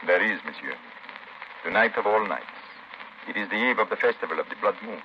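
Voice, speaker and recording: Grave plantation master, vengeful widower, 1930s radio drama, dull sound with faint noise. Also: male voice, on the low side, animated. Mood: grave, foreboding. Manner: formal, measured, solemn.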